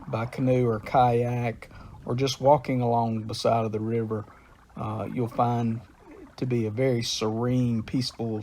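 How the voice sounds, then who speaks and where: Unhurried man, gravelly low voice. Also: a little mellow, a little hiss or hum. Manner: unhurried, with frequent "uh" pauses. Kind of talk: informal talk on local history and nature.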